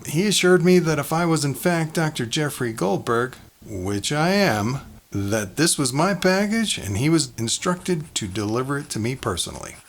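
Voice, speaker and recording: An actor playing a fussy, rambling neuroscientist who records a personal log in a halting, conversational way. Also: male voice, fairly high pitch, lively intonation. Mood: puzzled. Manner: halting, digressive, conversational asides. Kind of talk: audio drama monologue as recorded log.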